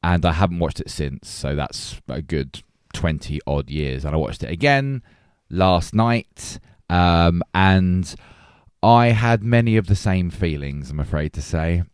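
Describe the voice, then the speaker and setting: A man's voice: low-pitched, measured pace, clear, resonant tone, no echo, studio quality. A middle-aged man, chatting casually as a co-host of a film podcast. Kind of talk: casual chat.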